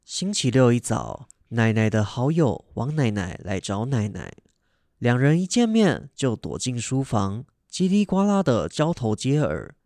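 A man's voice: medium pitch, very animated, measured pace, darkish tone, no room sound, studio quality. A young man reading scripted narration for a children's radio drama as its narrator. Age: young adult.

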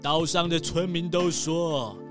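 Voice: medium pitch, fairly even intonation, measured pace, music in the background, close to an everyday voice. A performer voicing a boisterous rich seal who owns cruise ships, hammy, laughing often and dropping English words. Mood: hearty.

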